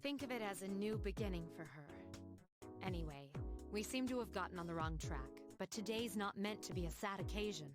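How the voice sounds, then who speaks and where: A woman playing a composed, mature young team leader in a video game, speaking formally and calmly. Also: mid-range voice, over music, close to an everyday voice. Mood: calm, gracious. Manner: formal, calm, deliberate. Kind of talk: scripted video game cutscene acting.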